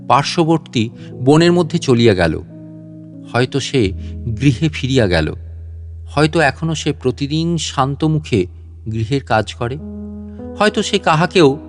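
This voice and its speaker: A man narrating a literary story in a measured, expressive way, with deliberate pauses between phrases. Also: medium pitch. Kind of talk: dramatic literary story narration in first person.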